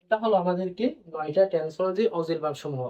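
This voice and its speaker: A man's voice: a little high, very fast, warm tone. Dull lo-fi audio, man, didactic grammar teacher, repetitive step-by-step explaining, rhetorical questions. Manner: didactic, repeats points and asks then answers his own questions. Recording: dull, lo-fi band-limited sound.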